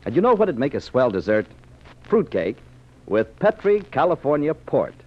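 A man's voice: on the high side, sing-song, moderate pace. A man announcing in 1940s radio style, with enthusiastic salesmanship. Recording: muffled 1940s radio recording.

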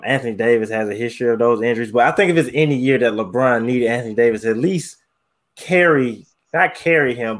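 A man chatting casually on a sports podcast. He rambles a little and leans on filler phrases. His voice sits at an ordinary middle pitch. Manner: rambling, leans on "you know". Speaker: podcast panelist.

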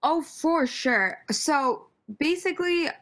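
A woman's voice: sits high, expressive, slow, a bit bright. A young American woman, a podcast guest, chatting casually with bubbly enthusiasm and frequent laughter. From United States (born Virginia, raised Connecticut).

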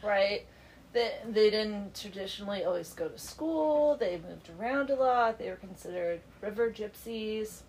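A woman's voice: medium pitch, lively intonation, a little slow, darkish tone, rough recording. Congested woman doing a book podcast from her basement, chatty, faint background hum. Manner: chatty, laughs easily. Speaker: podcast host. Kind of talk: casual book club chat. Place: home basement.

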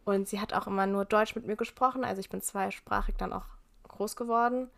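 A young woman from Germany, a guest in a casual interview, talking thoughtfully and candidly with soft laughs. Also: medium pitch, steady tone, fairly quick, gravelly. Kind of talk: casual conversation.

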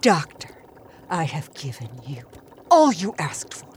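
A woman's voice: low-pitched, wildly swooping pitch, a little slow, heavily acted. An actress playing an imperious, obsessive cosmetics queen craving youth, demanding and hissing, in a campy audio drama. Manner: demanding, breathy hissing emphasis.

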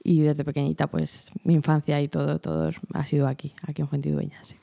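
A woman from answers interview questions. Her voice is mellow and warm. Her manner is quiet, reserved and thoughtful. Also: low-pitched, level tone, quick pace, vocal fry. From Spain. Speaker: guest, journalist.

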